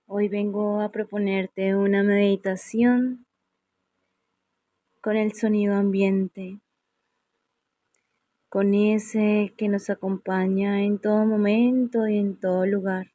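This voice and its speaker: A woman narrates a guided meditation at a very slow pace. Her tone is mellow and relaxed, and she leaves serene pauses between phrases. The sound is dry, with little room on it. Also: medium pitch, dry recording. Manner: unhurried, serene, with long pauses.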